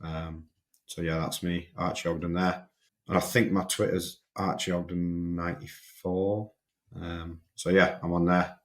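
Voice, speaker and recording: A man's voice: deep voice, little pitch movement, unhurried. A young man with a Yorkshire accent, a strength coach talking as a podcast guest, hesitant and often repeating his words. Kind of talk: casual podcast interview.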